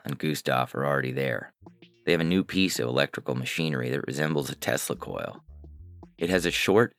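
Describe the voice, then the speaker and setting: A man plays a jaded, paranoid hacker telling his own story in a science fiction audiobook. His very deep voice is terse and sardonic, recorded dry and close, with faint music behind. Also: vocal fry, a bit bright, dry recording, full character voice. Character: weary, paranoid male hacker narrator, sardonic and gloomy. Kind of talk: first-person science fiction audiobook narration.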